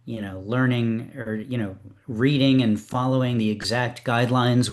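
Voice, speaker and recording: A man casually hosting a poetry open reading, chatting in an encouraging way. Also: medium pitch.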